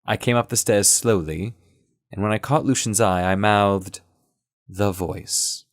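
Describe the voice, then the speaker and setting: Male narrator, low register, measured delivery of first-person fiction, very dry sound. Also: steady tone, no room sound.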